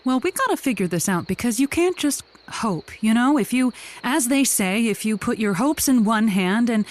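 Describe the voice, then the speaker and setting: A woman's voice: a little high, expressive, normal speed, close to an everyday voice. A woman playing an upbeat, encouraging advice host on an improvised comedy call-in show. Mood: warm, supportive. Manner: effusive, encouraging.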